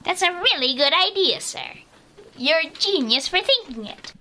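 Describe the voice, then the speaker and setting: Woman as a piercing, squeaky, adoring assistant. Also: big pitch swings, moderate pace, bright, forceful tone, a little hiss or hum, heavily acted. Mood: adoring, eager. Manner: fawning, eager to please. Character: squeaky, adoring yes-man assistant to the boss. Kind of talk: comedy radio play acting.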